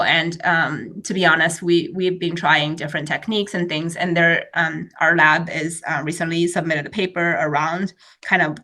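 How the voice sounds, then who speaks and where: A woman's voice: fairly low pitch, sharp tone. A woman whose first language is Chinese, explaining research in a webinar presentation with many fillers and restarts, speaking over a video call.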